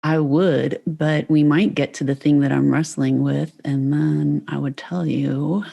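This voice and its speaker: A woman from Pennsylvania, a guest on a podcast, chatting in an easygoing, soft-spoken way. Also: low-pitched, steady tone, unhurried, gravelly, husky. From Pennsylvania, United States. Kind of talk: casual interview chat.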